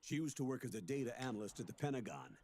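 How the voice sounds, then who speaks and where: Older military commander, earnest, measured, authoritative, video game, mid-pitched, faint noise. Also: male voice, big pitch swings, darkish tone. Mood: earnest, briefing.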